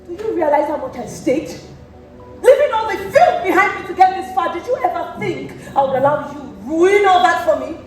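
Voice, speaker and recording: A woman's voice: very high-pitched, animated, a little fast, a little mellow. A young woman acting an imperious, suspicious lady of an ancient Greek temple in a stage play, declamatory and commanding. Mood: furious, accusing. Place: stage in an indoor theatre. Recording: echoey theatre, distant mic, music behind.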